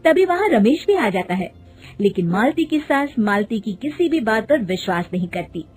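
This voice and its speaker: A woman's voice: fairly high pitch, sing-song, normal speed, darkish tone, breathy edge. A woman storyteller reading scripted narration for a cartoon family tale.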